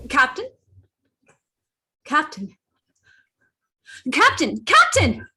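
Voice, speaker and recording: A woman's voice: high voice, huge pitch swings, drawn-out words, pushed and bright, full character voice. A woman playing a timid fourteen-year-old boy in a radio play reading, with a hesitant, earnest manner. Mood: alarmed.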